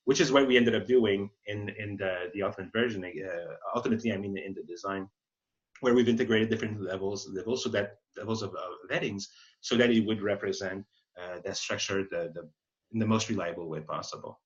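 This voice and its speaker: A man presenting a webinar in an explanatory, unscripted way, often halting and rephrasing mid-sentence. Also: fairly low pitch. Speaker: webinar presenter, accessibility expert. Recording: slightly dull, lo-fi webinar audio.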